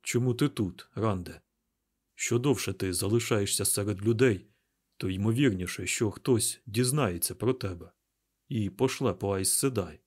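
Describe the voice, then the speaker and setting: A man voicing a calm, burly young man in an audiobook, speaking quietly and deliberately. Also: lowish voice, husky. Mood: measured, serious.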